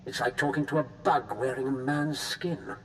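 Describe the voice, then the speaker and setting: An actor playing a disdainful, pragmatic Imperial official with a haughty, clipped manner in scripted game dialogue. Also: male voice, mid-range voice, normal speed, close to an everyday voice. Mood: disgusted. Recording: distant, noisy game capture.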